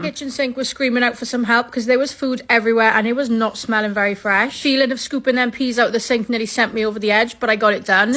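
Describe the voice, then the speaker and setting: A woman's voice: on the high side, a bit bright. A young British woman giving a chatty, informal voice-over for a home vlog. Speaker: home cleaning vlogger.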